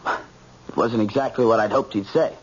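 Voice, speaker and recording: A man's voice: on the low side, normal speed, lightly acted. An actor playing a shaken, brooding young American man, a grown son in an old-time radio drama, intense and insistent. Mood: weary. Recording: muffled, faintly noisy old radio recording.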